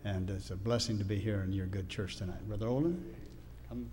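A man's voice: lowish voice, fairly even intonation, brisk, warm tone, slight background noise. A man informally introducing a guest speaker to a church congregation. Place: church hall. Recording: echoey church hall, long reverb.

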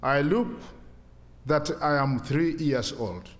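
Older Kenyan man in his sixties, church testimony in hesitant English, fairly high voice, long hall echo. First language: Swahili. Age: 60s. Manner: halting, simple English with many pauses. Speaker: guest speaker at church.

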